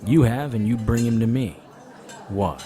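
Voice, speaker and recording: A man's voice: mid-range voice, a little slow, warm tone, lightly acted. A man playing a weary, sardonic occult investigator in a noir audio drama, with a dry, wisecracking delivery. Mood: wry, suspicious. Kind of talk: noir audio drama acting with first-person narration. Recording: crowd chatter behind the voice. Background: crowd chatter.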